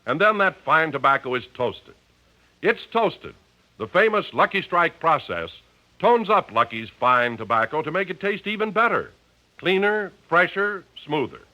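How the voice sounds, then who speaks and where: Bright, lively male radio announcer. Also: on the high side, measured pace, lo-fi sound. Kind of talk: 1950s radio announcing and ad reading.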